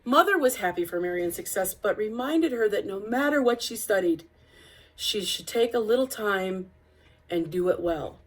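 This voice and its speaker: A woman reading a picture book aloud as narrator, with deliberate, expressive phrasing. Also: medium pitch, poor audio. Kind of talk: read-aloud storybook narration.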